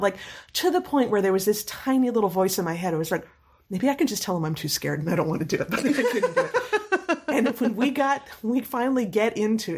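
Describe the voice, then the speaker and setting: A woman with a medium-pitched voice that is a bit scratchy and husky. She chats casually, spinning personal anecdotes in an animated manner, ready to laugh as she goes. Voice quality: slightly scratchy. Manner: animated, laughs while telling stories. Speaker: storyteller in a chat.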